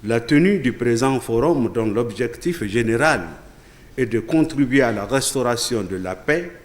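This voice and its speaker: A man's voice: medium pitch, expressive, moderate pace. A man from Niger, giving a formal ceremonial speech as a regional government official.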